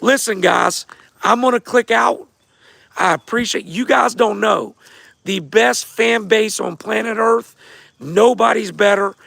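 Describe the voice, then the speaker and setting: Hoarse guy with a really gravelly voice, kinda high, dry close sound, just chatting w his fans. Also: a little slow, a bit bright, no room sound. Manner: laid-back, repeats himself, talks straight to viewers. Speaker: host of a fight show answering viewer questions. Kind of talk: casual livestream chat.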